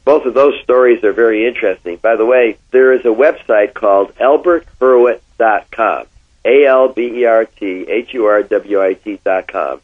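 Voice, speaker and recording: A man's voice: lowish voice, normal speed. An older man from Connecticut with an American accent, reminiscing in an interview and telling anecdotes with emphatic repetition. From Connecticut, United States. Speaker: interviewee, former oil executive. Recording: thin, phone-like band-limited sound.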